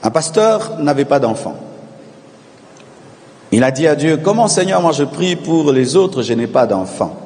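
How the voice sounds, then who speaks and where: A man's voice: on the high side, big pitch swings, measured pace, pauses often. Preaching man in a reverberant hall. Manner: preaching with call-and-response, rising to shouted repetitions. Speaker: pastor. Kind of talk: sermon.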